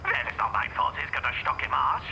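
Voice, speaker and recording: A man's voice: medium pitch, huge pitch swings, brisk, gravelly, very noisy, full character voice. Man playing a theatrical, swooping, teasing phone caller. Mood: playful, teasing. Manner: flowery, archaic, teasing phrasing. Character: playful, theatrical, cryptic male demigod-kin ally on phone. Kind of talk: audio roleplay drama acting.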